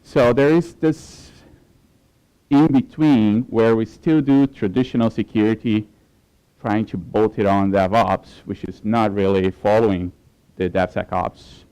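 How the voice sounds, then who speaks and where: A man whose first language is Portuguese gives a technical conference talk in a relaxed way, with many fillers and restarts. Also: lowish voice, a little slow, clear, resonant tone, muffled recording. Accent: Portuguese-speaking. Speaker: conference speaker.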